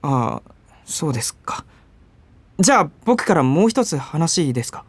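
Woman as earnest, boyish young man, lively intonation. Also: sits low, moderate pace, slightly rough, no room sound, full character voice. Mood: let down, pressing. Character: earnest, polite, teasing young male junior coworker. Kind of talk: Japanese radio drama acting.

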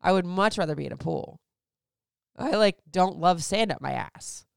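A woman's voice: on the low side, expressive, normal speed, gravelly, clean tone, dry recording, clean studio sound. A woman in her thirties from Florida, chatting candidly as a host answering listener questions, rambling with lots of "like"s. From Florida, USA. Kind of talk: casual chat.

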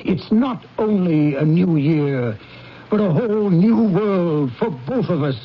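A man's voice: high-pitched, animated, slow, voice pushed up, full character voice. A man playing a reformed old miser, merry and mock-stern, in theatrical 1970s radio drama style. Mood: joyful. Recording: muffled 1970s radio broadcast, faint music.

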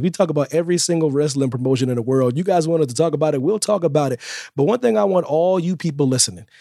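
A man hosting a casual wrestling podcast, playfully boastful, repeating his phrases for emphasis in animated panel banter. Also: a little high, no echo, clean studio sound.

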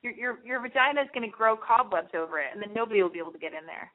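A woman talks quickly in a slightly high voice, handing out blunt, bossy advice as the host of a call-in show. Her voice comes through narrow and boxy, like a telephone line. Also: lively intonation.

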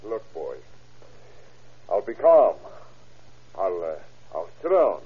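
An actor playing an exasperated, authoritative police captain who questions firmly and insistently in a classic radio drama, his voice husky. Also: low voice, very animated, slow pace, heavily acted. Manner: firm, insistent interrogating. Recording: dull, lo-fi old radio recording.

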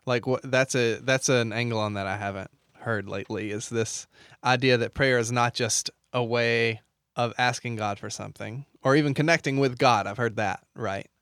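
A man's voice: medium pitch, steady tone, normal speed, a bit bright, clear, resonant tone, no room sound, clean studio sound. A man hosting an interview, asking curious, probing questions and often restarting his sentences. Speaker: interviewer host. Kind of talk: conversational interview.

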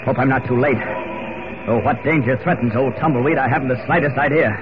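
An actor playing a confident male superhero who thinks aloud deliberately, in 1940s radio drama style. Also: mid-range voice, close to an everyday voice. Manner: deliberate, self-assured monologue. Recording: muffled, noisy old radio recording.

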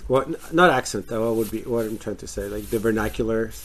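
A man's voice: lowish voice, fast, a bit bright, slight background noise. A man co-hosting a casual film-review podcast, who rambles with many fillers and restarts. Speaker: podcast co-host.

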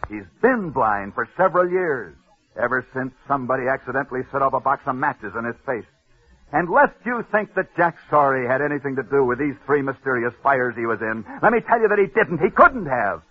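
A man hosting a radio sports newsreel, telling stories with dramatic pauses and punchy emphasis. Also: mid-range voice, very animated, breathy edge. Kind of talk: 1940s radio sports storytelling. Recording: tinny old radio broadcast.

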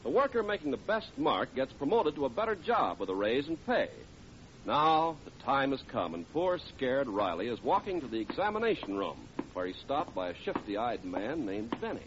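A man's voice: big pitch swings, moderate pace. A man working as a 1940s radio announcer, reading scripted narration and advertising with polished, emphatic salesmanship. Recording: dull, noisy old radio recording with rumble.

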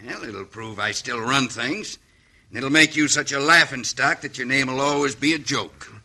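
A cruel outlaw chief with a very sharp, forceful mid-range voice. He taunts in a folksy American cowboy drawl, in the manner of an old radio western. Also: mid-range voice, normal speed, slightly rough, exaggerated voice. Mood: gloating. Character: Jocko Lemming, gloating cruel outlaw gang boss.

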